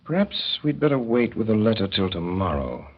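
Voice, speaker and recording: A man narrating a 1930s radio drama in a measured, wry way, with deliberate pauses. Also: fairly low pitch, very animated, breathy edge. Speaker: narrator and lead performer. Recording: dull 1930s radio broadcast.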